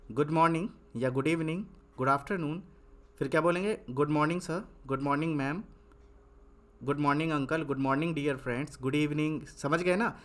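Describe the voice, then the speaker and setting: A young man giving an English lesson in Hindi. He asks questions and answers them himself, repeating points. When writing he slows to dictate one word at a time. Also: medium pitch, a bit bright. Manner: repetitive, asks and answers his own questions. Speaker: English tutor.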